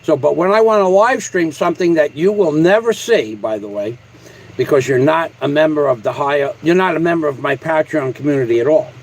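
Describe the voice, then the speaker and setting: A man's voice: fairly high pitch, expressive, fairly quick, low-quality recording. A man live streaming casually to his viewers, talking in a combative, boastful, foul-mouthed way. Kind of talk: casual livestream rant to a viewer.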